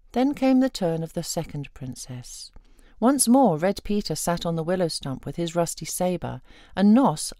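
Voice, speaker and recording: A woman tells a folk tale in a fairly low voice that swings with lively intonation. She reads as a storyteller, and the recording is dry and close. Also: no room sound. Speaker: storyteller reading a fairy tale. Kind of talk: expressive scripted storytelling.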